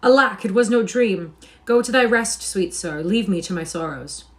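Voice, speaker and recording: A woman voicing an excitable poor boy who speaks in archaic, exclamatory phrases. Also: medium pitch, normal speed, voice pushed up, natural voice. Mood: dismayed. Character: excitable poor boy dreaming of becoming king.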